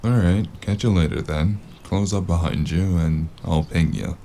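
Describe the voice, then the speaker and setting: An actor playing a weary, sardonic noir investigator in an audio drama, gravelly and dryly deadpan. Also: male voice, low voice, measured pace, slight background noise. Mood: deadpan, dismissive. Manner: dry, understated deadpan.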